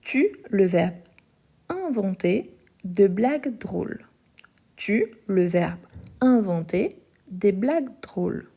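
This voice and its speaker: A woman teaching a live online French lesson, speaking slowly and carefully, repeating each sentence and spelling out the verb endings. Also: medium pitch, sing-song, warm tone, slightly rough.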